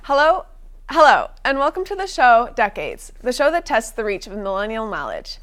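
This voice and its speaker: A woman's voice: fairly high pitch, sing-song, moderate pace, fairly bright tone. A young woman hosting a college studio quiz show, upbeat and a little unpolished, with fillers and restarts. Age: young adult. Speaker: game show host.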